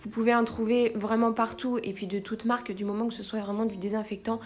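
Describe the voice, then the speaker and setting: A woman's voice: fairly high pitch, little pitch movement, fast. A woman in her twenties, a young mother, chatting through a cleaning vlog voice-over and praising a product with enthusiasm. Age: 20s. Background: faint background music.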